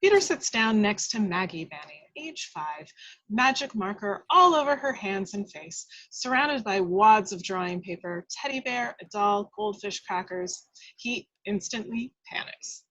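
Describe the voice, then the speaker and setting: A woman's voice: mid-range voice, lively intonation, unhurried, fairly bright tone, poor audio. A woman reading stage directions as the narrator of a script table read, in a dramatic storytelling style.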